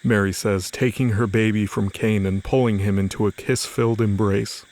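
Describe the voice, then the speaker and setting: Low-voiced man narrating audio drama. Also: fairly even intonation, moderate pace, darkish tone. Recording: faint music under the voice. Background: music.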